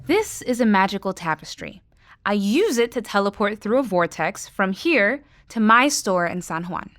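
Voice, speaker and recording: A woman's voice: mid-range voice, lively intonation, moderate pace, fairly bright tone, no room sound, clean studio sound, close to an everyday voice. A woman playing a practical shopkeeper who guards magical secrets, explaining matter-of-factly in an audio drama.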